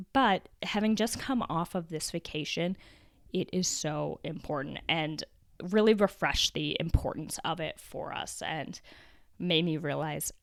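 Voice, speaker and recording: A young adult woman with a cold, her voice raspy and deeper than usual, chatting casually on her solo podcast with fillers and restarts. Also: no room sound, studio quality. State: hoarse from a cold. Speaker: podcast host.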